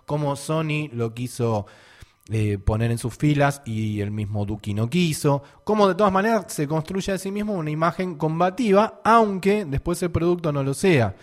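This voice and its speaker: A man's voice: fairly high pitch, expressive, normal speed. A man with an Argentine accent, hosting a radio show and commenting on music in a conversational way. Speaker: radio host.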